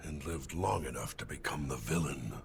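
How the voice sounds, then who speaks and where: Low, gravelly brooding hero. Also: male voice, animated, moderate pace, a little hiss or hum, heavily acted. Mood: solemn. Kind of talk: dramatic video game voice lines.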